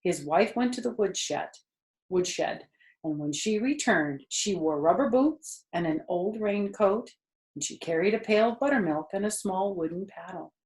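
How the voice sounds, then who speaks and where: A woman storyteller reading a children's book expressively, lingering deliberately on the ends of phrases. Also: mid-range voice, animated, darkish tone, reverberant, poor audio.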